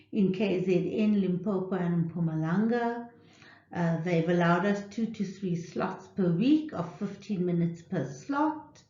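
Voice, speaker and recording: Female presenter, unhurried teaching delivery with careful diction, mid pitch, roomy muffled sound. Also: a little mellow. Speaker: literacy programme presenter. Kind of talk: instructional presentation with tips. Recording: roomy, echoing, dull lo-fi audio.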